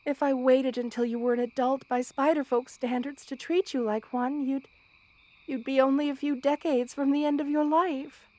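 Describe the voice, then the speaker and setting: Woman doing gentle old spider monster queen, high voice, motherly n soothing, kinda formal, asmr roleplay. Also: steady tone, no room sound, lightly acted. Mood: gently apologetic. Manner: formal, measured, tender, soothing phrasing. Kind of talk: ASMR roleplay, intimate scripted monologue to listener.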